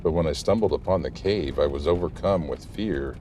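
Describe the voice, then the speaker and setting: Man playing a very deep-voiced, grave small-town guide. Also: mellow, a little hiss or hum. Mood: grave, warning. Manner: formal, measured, solemn. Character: grave, cautious male small-town guide warning a reporter. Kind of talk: audio drama acting.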